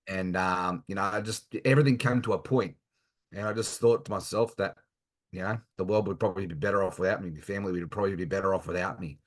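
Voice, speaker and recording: An Australian man in his thirties with a very deep, low voice. He talks earnestly and haltingly, pausing often on fillers as he answers as a guest. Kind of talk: casual interview chat.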